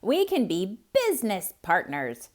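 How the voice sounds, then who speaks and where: A woman voicing a clever, scheming male hare who talks in a raised, eager, loud voice. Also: medium pitch, wildly swooping pitch, measured pace.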